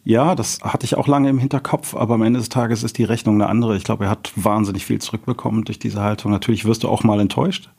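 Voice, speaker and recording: Middle-aged male interview guest, low husky voice, relaxed personal storytelling. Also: fast, hardly any pauses. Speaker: company founder and CEO, interview guest.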